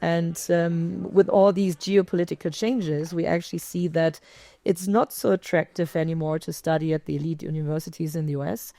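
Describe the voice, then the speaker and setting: A woman speaking on a conference panel, explaining points in a measured way with frequent "I mean" and "um". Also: fairly low pitch, fairly even intonation, vocal fry, mellow, no echo. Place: conference stage.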